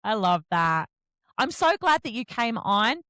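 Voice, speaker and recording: A woman's voice: medium pitch, very animated, unhurried, bright, forceful tone, smooth, dry recording, studio quality. A woman hosting a casual interview, chatty and effusive, often acting out little bits of dialogue. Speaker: podcast host.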